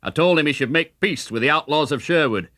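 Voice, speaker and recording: A man's voice: on the high side, lively intonation, brisk, bright, forceful tone, no echo, studio quality, voice pushed up. A man voicing a bold, sly outlaw who talks eagerly and persuasively in a children's audiobook. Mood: proud, sly.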